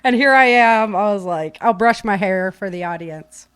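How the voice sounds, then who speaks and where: A woman's voice: mid-range voice, moderate pace, fairly bright tone, smooth, no echo. A woman chatting casually and informally as a live podcast host, with breezy, slangy talk. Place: home office.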